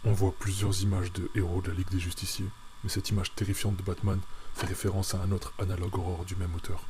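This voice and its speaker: A man reads scripted narration for a video. His voice is deep and stays level with little melody, and there is noticeable noise in the recording. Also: level tone, fast talker, darkish tone. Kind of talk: scripted narration with French translation read-out. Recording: clearly noisy recording.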